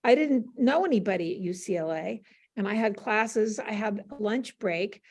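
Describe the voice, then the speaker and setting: An older woman speaking as an interview guest, casually telling anecdotes on a panel with a gently teasing manner. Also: mid-range voice, moderate pace.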